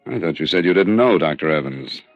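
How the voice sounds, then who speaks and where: A man's voice: low-pitched, expressive, a little fast, soft background music. A man playing a shrewd, persistent insurance investigator with clipped, pressing delivery in a 1950s radio crime drama. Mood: accusing. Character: steely male insurance investigator, shrewd, persistent, hard-boiled. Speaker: lead detective and narrator. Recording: dull vintage radio recording.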